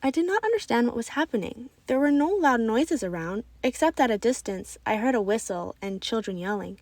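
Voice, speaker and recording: High-voiced woman reading a storybook. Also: animated, fairly quick, a little mellow, dry recording. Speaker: storybook reader. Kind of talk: expressive scripted children's story narration.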